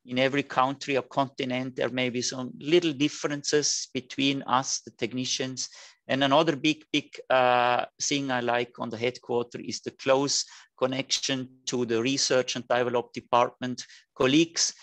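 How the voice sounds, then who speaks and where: Man with a Liechtenstein German accent, fifties, online lecture, mid-pitched voice, dry close sound, friendly, halting phrasing. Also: level tone, fairly bright tone, no echo. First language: German (Liechtenstein dialect). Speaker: dental technician educator. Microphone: video call.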